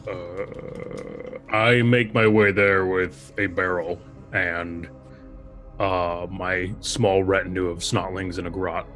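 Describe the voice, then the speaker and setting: A man's voice: sits low, flat delivery, slow pace, fairly bright tone, faint music behind. A man playing a tabletop roleplaying game, casually describing what his character does.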